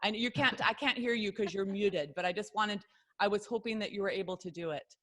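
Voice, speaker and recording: A woman's voice: mid-range voice, a little fast, a bit bright, poor audio. Middle-aged female health coach hosting a video-call workshop; chatty, earnest, prone to tangents. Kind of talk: informal online workshop talk.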